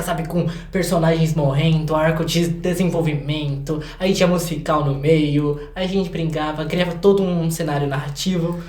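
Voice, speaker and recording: An eighteen-year-old Brazilian man chatting casually as an interview guest, telling stories with slangy asides and acted-out quotes. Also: on the high side. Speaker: guest, singer-songwriter. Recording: echoey room.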